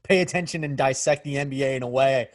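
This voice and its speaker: A man's voice: medium pitch, fast talker, no echo. Laid-back man hosting a video chat, dry close audio. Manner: easygoing, rambling with fillers. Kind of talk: casual chat. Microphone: video call.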